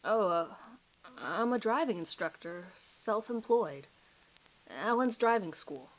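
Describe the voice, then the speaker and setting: A woman's voice: medium pitch, lively intonation, slow, poor audio. A nervous suspect in his thirties a man as acted out by a woman gives his account in a dramatized police interview. He is hesitant and apologetic, rambling as he tries to recall details. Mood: hesitant, uneasy.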